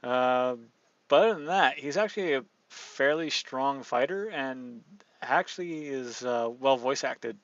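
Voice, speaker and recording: A man hosting a gaming video with casual, halting, unscripted commentary. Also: medium pitch, fairly bright tone, no echo, muffled recording. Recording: faint game noise behind voice. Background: video game ambience.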